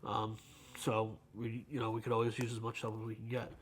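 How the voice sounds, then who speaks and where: A man's voice: fairly low pitch, completely flat delivery, a little fast, a little hiss or hum. A man answering casually as an interview guest, speaking in a plain, informal way as a fire officer. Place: firehouse kitchen.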